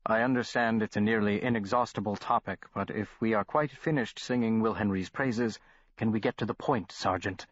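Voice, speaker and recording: A man's voice: lowish voice, normal speed, no room sound, dull audio, close to an everyday voice. A man voicing an impatient, imperious male doctor who talks curtly.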